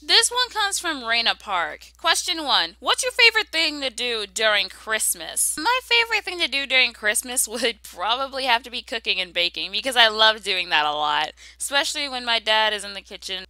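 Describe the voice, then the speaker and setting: A woman's voice: fairly high pitch, big pitch swings, moderate pace, few pauses, pushed and bright, no echo. A woman answering viewer questions in a casual, sarcastic, slangy way, often exclaiming. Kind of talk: casual sassy Q and A commentary.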